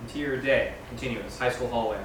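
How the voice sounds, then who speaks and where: A man's voice: mid-range voice, steady tone, quick pace. A man reading scene directions aloud as scripted narration at a screenplay table read. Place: large echoey room, far from mic. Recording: echoey, noisy room from far off.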